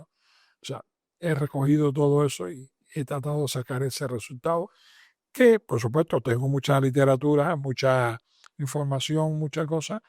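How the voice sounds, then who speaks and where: A man's voice: mid-range voice, a little mellow, no room sound, clean studio sound. An older man with a Cuban accent answering interview questions emphatically, repeating phrases as he builds lists. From Matanzas province, Cuba. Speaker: interviewed doctor and author.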